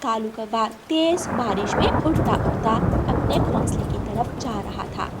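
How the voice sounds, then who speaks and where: A woman's voice: on the high side, lively intonation, measured pace, very noisy. A woman narrator reading a scripted children's story.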